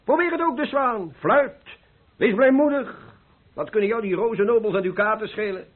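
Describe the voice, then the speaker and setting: Exuberant older painter, high-pitched and husky. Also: male voice, moderate pace. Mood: urging, blithe. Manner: exuberant, persuasive, rhetorical. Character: cheerful, carefree, philosophizing older male painter. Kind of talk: Dutch radio play acting. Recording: muffled old radio play recording.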